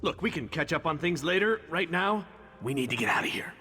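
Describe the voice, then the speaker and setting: An actor playing a cocky, flippant knight champion in a fantasy video game, with a wry, glib, theatrical delivery. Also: male voice, on the high side, animated, fairly quick, bright, forceful tone, slightly rough, exaggerated voice. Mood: explaining, exasperated. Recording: long reverb over background music.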